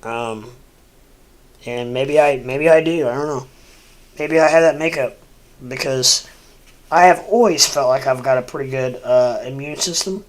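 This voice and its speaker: A man rambling through a casual livestream, stuffy and congested, halting with lots of fillers and restarts. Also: mid-range voice. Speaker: crypto livestreamer. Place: home room, seated at a desk.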